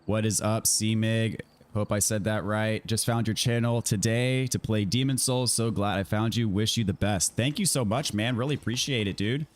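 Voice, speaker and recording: A man with an American accent chatting casually to viewers while he plays a video game, his voice a little raspy from a scratchy throat. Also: lowish voice, steady tone, moderate pace, few pauses. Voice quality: slightly raspy. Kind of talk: casual livestream chat answering viewers while gaming.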